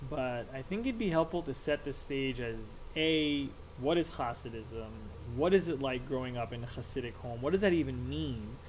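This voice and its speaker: A man hosting an interview, asking questions in a measured, hesitant way. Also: mid-range voice, expressive, a little hiss or hum, poor audio. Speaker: podcast host, psychiatrist.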